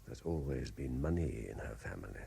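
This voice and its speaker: An actor with a British accent playing a lonely, self-doubting bachelor in a 1970s radio drama, hesitant and solicitous. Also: male voice, rumbling low, lively intonation, brisk, gravelly, full character voice. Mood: confiding. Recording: noisy 1970s radio broadcast recording.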